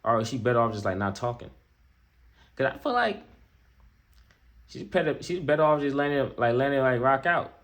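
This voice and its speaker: A man's voice: mid-range voice, animated, a little fast, pauses often, fairly bright tone. American man giving casual, slangy reactions. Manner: slangy, repetitive, conversational asides to viewer. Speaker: reaction video host. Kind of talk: casual off-the-cuff reaction commentary.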